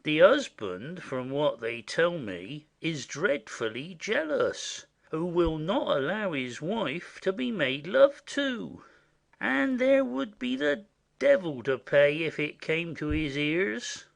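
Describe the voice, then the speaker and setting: Man playing a high-pitched, slow-talking, conspiratorial country bumpkin. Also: sing-song, creaky, full character voice. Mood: confiding. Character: simple, indiscreet rustic male messenger, conspiratorial and chatty. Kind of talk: classic stage comedy audioplay.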